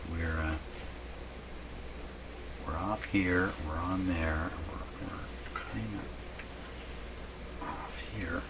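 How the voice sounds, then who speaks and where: A man giving unscripted, halting commentary as he thinks aloud while doing hands-on repair work. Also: low-pitched. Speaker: radio repair hobbyist narrating a workshop video. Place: indoor radio repair workshop. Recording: clearly noisy workshop recording.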